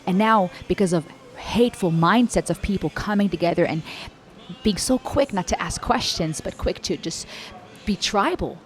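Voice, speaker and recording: A woman's voice: mid-range voice, normal speed. A young woman with an American English accent giving earnest, reflective reaction commentary to a song and speaking directly to her viewers. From Germany and the US, Cuban-American family. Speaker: music reaction YouTuber.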